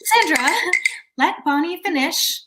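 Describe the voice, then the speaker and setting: A woman's voice: sits high, animated, a little slow, very noisy. A woman playing an exasperated, bossy chair of a small-town theater meeting, stern and flustered, in a staged reading. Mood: controlled impatience.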